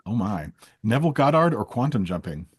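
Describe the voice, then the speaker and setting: A man hosting a casual interview, reading out rapid either-or questions in a playful, teasing way. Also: lowish voice, very animated, breathy edge. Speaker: interviewer, host.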